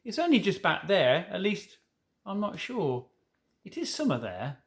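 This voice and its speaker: A man's voice: high-pitched, wildly swooping pitch, measured pace, pitched above the performer's own voice, exaggerated voice. A man voicing a polite, hesitant young girl from a children's storybook. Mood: unsure. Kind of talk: children's storybook read aloud.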